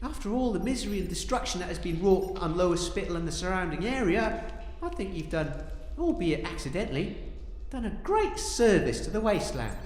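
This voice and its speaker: Wildly animated, earnest hero played by a man. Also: high-pitched, fairly quick, echoey room, big-room sound. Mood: earnest, soothing. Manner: earnest, florid, melodramatic. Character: excitable, dim but kind-hearted hero, earnest and flowery. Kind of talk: comic audio drama acting.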